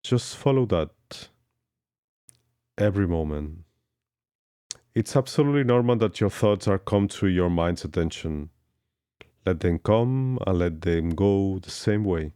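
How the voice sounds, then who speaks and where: Very deep, slow male meditation guide. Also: animated, dark tone, smooth, no room sound, clean studio sound. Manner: slow, soothing instructions with long pauses. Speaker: yoga instructor. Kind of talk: guided yoga nidra meditation.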